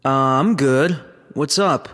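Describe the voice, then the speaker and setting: A man's voice: medium pitch, slow, a bit bright. A man playing a curious, sceptical roommate in casual audio drama dialogue. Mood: friendly surprise.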